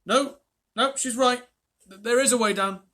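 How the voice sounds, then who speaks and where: An excited young boy, quick and eager, his voice raised high in a storybook reading. Bright and slightly husky in tone, as a man puts him on. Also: heavily acted.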